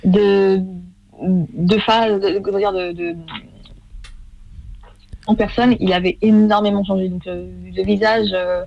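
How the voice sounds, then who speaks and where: Give me a woman in her thirties speaking haltingly, with a creaky, gravelly voice. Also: medium pitch, steady tone, a little mellow, lo-fi sound. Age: 30s. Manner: hesitant, many fillers and restarts. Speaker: guest, former masterclass student. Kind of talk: casual radio interview.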